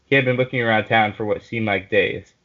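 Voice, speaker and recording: A man's voice: on the low side, steady tone, a little fast. A man reading fan fiction aloud in a casual group session, blunt and sweary, often breaking off to comment. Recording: muffled lo-fi mic with noise.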